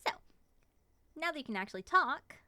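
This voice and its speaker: A woman acts out a possessive but playful moth girl in an ASMR roleplay. Her voice sits a little high and moves in lively swoops, recorded dry and close. She coaxes and teases expressively. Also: normal speed, dry recording, exaggerated voice. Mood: coaxing. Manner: expressive, coaxing, teasing. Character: playful, bubbly, possessive female moth-human monster.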